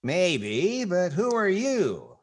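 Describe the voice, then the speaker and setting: A man's voice: high voice, huge pitch swings, extremely slow, full character voice. A man acting as a dry, wry comedian host and narrator in scripted 1940s radio comedy.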